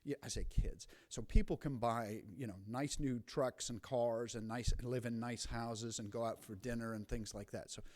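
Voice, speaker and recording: A man's voice: medium pitch, moderate pace, hardly any pauses. Conversational man answering a council. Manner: conversational, animated, frequent restarts. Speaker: city official answering council. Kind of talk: formal meeting remarks. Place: council chamber.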